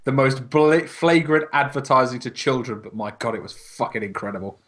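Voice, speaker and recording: A man's voice: medium pitch, fairly quick, fairly bright tone. A man chatting casually on a podcast, ranting emphatically and swearing freely. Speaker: podcast co-host. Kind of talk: casual, profane podcast banter.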